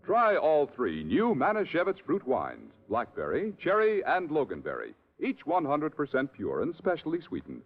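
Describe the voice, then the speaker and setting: A man delivering an excitable, sportscaster-like 1950s radio advertisement. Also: fairly high pitch, moderate pace, few pauses. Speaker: commercial pitchman. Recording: dull vintage radio recording.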